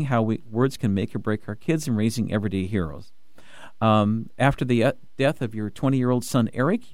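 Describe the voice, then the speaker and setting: A man hosting a radio talk show from the studio, talking in an excited rush with many restarts and fillers. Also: medium pitch, no room sound. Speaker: radio host.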